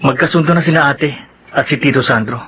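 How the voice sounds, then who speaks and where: An actor playing an easygoing, respectful young Filipino son and boyfriend in a radio soap opera. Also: male voice, mid-range voice, a little fast, pushed and bright, slightly rough, highs cut off. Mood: calm, reassuring. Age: young adult.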